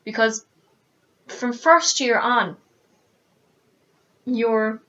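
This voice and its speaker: A woman's voice: a little high, extremely slow, sharp tone, highs cut off. A teenage woman with an Irish accent, ranting earnestly to camera in a casual vlog, full of fillers and restarts. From Ireland. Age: teens. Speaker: student vlogger.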